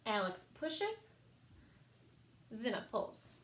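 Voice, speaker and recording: A woman reading picture books aloud for a children's story time, expressive and sing-song, with emphasized words. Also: a little high, drawn-out words, slight background noise. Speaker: library story time reader.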